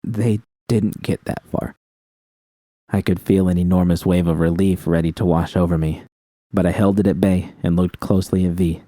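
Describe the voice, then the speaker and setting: A man's voice: low voice, level tone, fairly quick, mellow, dry recording, clean studio sound. A man reading a dramatic first-person story as scripted audiobook narration. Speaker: audiobook narrator of fan fiction.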